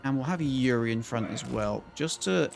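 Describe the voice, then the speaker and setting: A man with a croaky, gravelly voice streams a strategy game, thinking aloud as he plans each move. He talks casually to his viewers from his desk mic at a middling pitch. Faint game music runs underneath. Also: expressive, normal speed. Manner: thinking aloud while planning moves. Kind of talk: casual gameplay commentary to chat.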